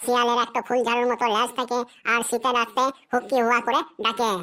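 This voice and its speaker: A woman's voice: on the high side, little pitch movement, quick pace, bright, forceful tone. A woman voicing a cocky schoolboy in a cartoon who rattles off a memorized essay in a sing-song recital. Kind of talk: cartoon voice acting.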